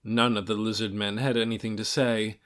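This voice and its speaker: A man reading a fantasy audiobook in a dramatic, measured storytelling manner, with deliberate pauses between phrases. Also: fairly low pitch, flat delivery, fairly bright tone. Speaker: audiobook reader. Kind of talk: scripted fantasy novel narration.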